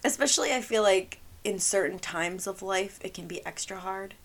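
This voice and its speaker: A woman's voice: medium pitch, lively intonation, normal speed, a bit bright. A woman, co-hosting a podcast in casual chat, her voice scratchy and partly gone, talking in a bubbly, giggly way with lots of "like". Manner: bubbly, giggly, says "like" often. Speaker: podcast co-host.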